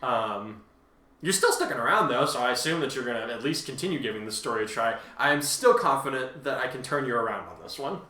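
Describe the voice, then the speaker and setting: A man's voice: mid-range voice, expressive, fast, pushed and bright, faint music behind. A man hosting in an informal way, talking and reading his own fiction aloud. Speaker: podcast host and story author. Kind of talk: casual chat and dramatic story reading.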